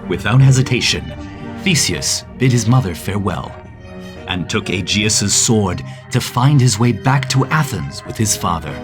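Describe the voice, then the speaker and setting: A man narrating an animated story in a calm, measured way. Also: on the low side, over music.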